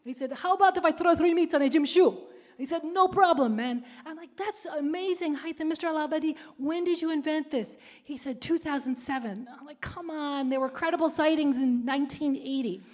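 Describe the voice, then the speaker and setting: A woman author giving a playful book talk, quizzing the audience and telling stories in a lively way. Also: high voice, brisk. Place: public library event space. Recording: reverberant hall.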